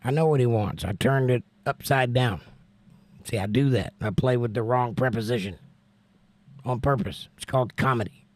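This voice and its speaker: An American man hosting a casual livestream and reading out viewer comments, hoarse from laryngitis, folksy and joking with preachy asides. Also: mid-range voice, moderate pace, darkish tone, no echo. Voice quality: hoarse, strained. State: laryngitis, losing his voice. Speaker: livestream host.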